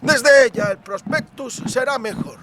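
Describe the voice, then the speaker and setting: A man voicing a courteous merchant with slow, flowery, learned speech in a fantasy audiobook. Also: very high-pitched, noisy background, voice pushed up. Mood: suggesting.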